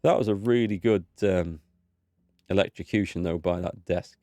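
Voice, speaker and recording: A man's voice: sits low, normal speed, warm tone, dry recording. A man with a cold and a blocked-up nose, casually commenting on his game as he plays and thinks aloud. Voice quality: slightly blocked, stuffy nose. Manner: easygoing, thinking aloud, reads in-game text. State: recovering from a cold, voice not back. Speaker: gaming video host. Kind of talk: relaxed let's play commentary.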